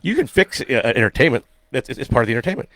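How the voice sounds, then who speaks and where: American male co-host, middle-aged or older, rapid-fire wisecracking banter, dry studio sound. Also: medium pitch, very animated, vocal fry, a bit bright, no room sound. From western Pennsylvania. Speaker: radio show co-host. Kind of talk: casual radio banter. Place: close-miked radio studio.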